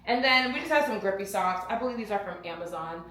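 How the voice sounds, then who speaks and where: A woman's voice: medium pitch, animated, fast talker, fairly bright tone, roomy sound. A young woman chatting casually to camera in a lifestyle vlog, talking to her viewers and to herself and repeating words for emphasis. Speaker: lifestyle vlogger.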